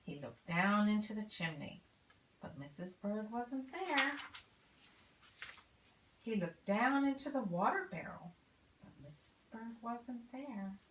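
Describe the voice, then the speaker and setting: An older woman reading a children's story expressively, as a grandmother would, deliberately and with drawn-out emphasis on key words. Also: mid-range voice, expressive, a bit bright. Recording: roomy home recording, faint noise.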